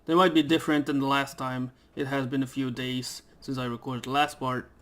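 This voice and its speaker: A young man talks casually over a game at home into a desk mic, and he rambles and hesitates with plenty of fillers. His throat is irritated, so he keeps clearing it. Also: mid-range voice, little pitch movement, a little fast, a bit bright. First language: Dutch. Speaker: gaming YouTuber. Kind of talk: casual let's play commentary. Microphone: desk microphone.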